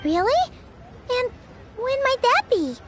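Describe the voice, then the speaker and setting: A woman voicing a tiny, excitable, curious childlike fairy companion in a video game, with a squeaky voice. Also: slow pace, very noisy, full character voice. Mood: eager, questioning.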